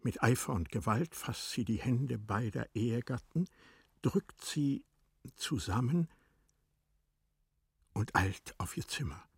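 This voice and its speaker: A man narrating literary radio drama from a script, with deliberate, dramatic phrasing. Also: mid-range voice, expressive, gravelly, husky, no room sound, studio quality.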